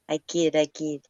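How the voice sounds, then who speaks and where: A woman's voice: sits low, darkish tone, dry recording, lo-fi sound. A woman chatting casually in short interjections.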